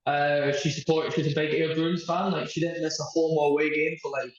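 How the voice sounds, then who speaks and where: A man's voice: on the high side, flat delivery, brisk. Echoey young Scottish man, friendly and chatty. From Scotland. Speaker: podcast guest, traveller. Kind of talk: casual chat. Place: echoey indoor room at a hostel. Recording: very echoey, muffled lo-fi sound.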